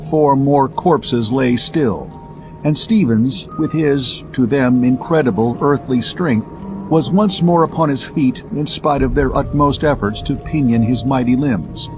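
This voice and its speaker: A man's voice: mid-range voice, measured pace. A man reading scripted pulp science fiction narration as an audiobook, with music playing behind him.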